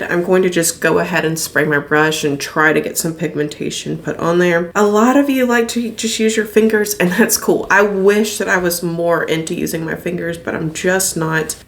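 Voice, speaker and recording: A young woman talks casually to camera while doing her makeup, her voice a little raspy from a recent illness. She is candid and chatty, laughing easily. Recorded in a small home studio from a desk camera. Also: on the low side. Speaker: beauty vlogger. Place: indoor home studio room.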